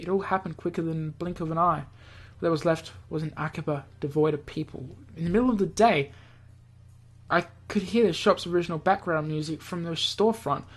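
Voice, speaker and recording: A woman's voice: on the low side, a little mellow. A woman reading a visual novel aloud in an amateur, offhand narrating style, sometimes stumbling over words and re-reading them. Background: game background music.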